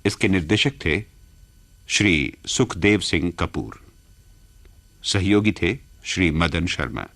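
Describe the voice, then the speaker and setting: Deep-voiced, measured male radio announcer. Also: fairly bright tone, no echo. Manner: measured, deliberate. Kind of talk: formal scripted credits announcement.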